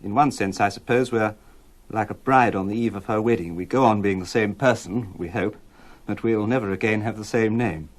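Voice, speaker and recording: A man playing a wistful, formal 1960s British radio announcer, speaking in a measured, understated way. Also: on the low side, lightly acted.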